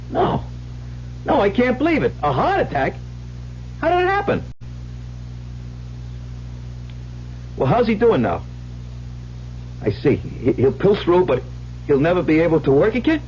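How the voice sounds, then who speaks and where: A man's voice: on the high side, wildly swooping pitch, a little mellow. A scared weak-willed man in an old radio drama, voice leaping around, muffled hissy tape. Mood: distressed. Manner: agitated, halting, pleading. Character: frightened weak-willed working man Harry, desperate and indignant. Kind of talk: vintage radio drama acting.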